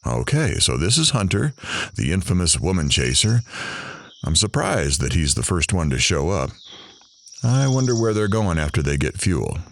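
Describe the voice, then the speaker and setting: Casting: a man as sardonic radio-drama eavesdropper, low voice with swinging intonation, dry muttering, slightly noisy track. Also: big pitch swings, normal speed, gravelly, exaggerated voice. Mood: wry, watchful. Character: grumbling hidden male watcher, sardonic, talking to himself. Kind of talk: audio drama acting, muttered monologue.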